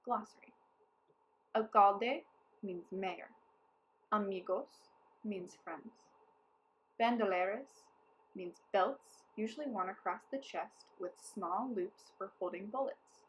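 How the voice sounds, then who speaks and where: A woman reading a children's book aloud as narrator, with deliberate, careful enunciation. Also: mid-range voice, lo-fi sound. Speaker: storybook reader. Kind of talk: scripted children's story read-aloud.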